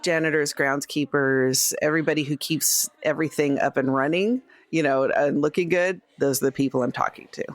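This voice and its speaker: A woman's voice: low-pitched, fairly even intonation, fairly quick, few pauses, no room sound. A woman playing a tabletop game, casually describing what her character says and does. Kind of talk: casual narration of a character's actions.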